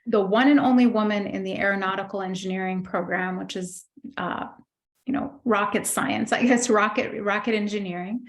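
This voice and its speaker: A middle-aged woman born in Egypt, a guest in an interview, telling her life story thoughtfully with many pauses and restarts. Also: medium pitch, fairly even intonation. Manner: thoughtful, many fillers and restarts, warm recollection. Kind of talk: reflective personal storytelling.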